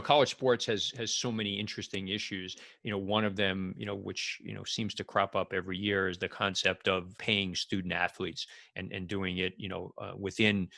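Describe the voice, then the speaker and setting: A male podcast host with a low, gravelly voice that still has a forceful, bright edge. He feels his way into questions in halting, conversational phrases. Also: dry recording, clean studio sound. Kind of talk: conversational interviewing.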